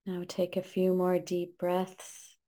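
A woman guides a meditation at a very slow pace. Her voice is soft-edged and dark, with very little movement in pitch. She gives calm, gentle instructions in short phrases with space between them.

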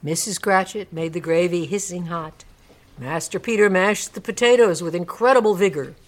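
Woman reading a classic story as narrator, voice a bit on the low side, dramatic storybook style. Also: moderate pace. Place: radio studio.

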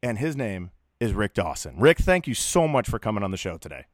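A male podcast host with an upbeat, bantering manner. His pitch swings widely as he talks. The sound is dry and close, with no room in it. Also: big pitch swings, a little fast, no room sound. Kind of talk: casual interview.

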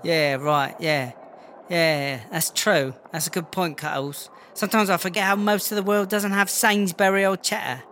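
A man's voice: high-pitched, fairly even intonation, moderate pace, a bit bright, slight background noise. A man playing a brash, foul-mouthed English female prison rapper in a comedy audio drama, chatty and blustering in slang. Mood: indignant. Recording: music under the voice.

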